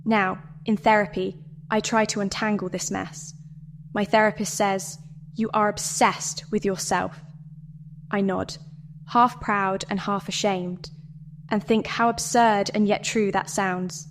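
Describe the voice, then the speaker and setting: A woman delivering a scripted guided meditation and dramatic monologue in a measured, deliberate way with pauses. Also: mid-range voice, flat delivery, slight background noise. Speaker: meditation narrator.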